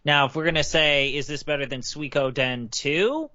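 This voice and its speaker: A man's voice: a little high, normal speed, sharp tone, no echo. A man co-hosting a casual podcast chat, emphatic and blunt, swearing freely. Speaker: podcast co-host. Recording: dry, dull lo-fi podcast audio.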